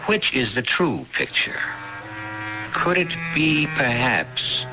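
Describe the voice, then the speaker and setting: A man hosting a radio mystery show in a scripted, theatrical style, sly and wry with dramatic pauses. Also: medium pitch, very animated. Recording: dull old radio recording, music.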